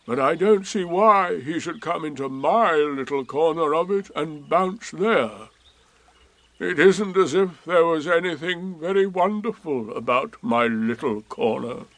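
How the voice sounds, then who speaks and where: A man voicing a gloomy, sardonic old male donkey with a slow, drawn-out, mournful delivery in a storybook reading. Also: fairly high pitch, fairly bright tone, no echo, pitched above the performer's own voice.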